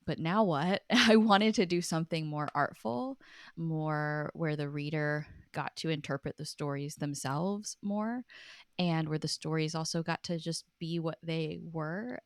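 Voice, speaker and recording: Casting: woman interviewee, deep-toned and smooth, chatty, earnest, reflective storyteller. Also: no echo, clean studio sound. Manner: earnest, chatty, laughs easily. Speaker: podcast guest, writer and documentary producer.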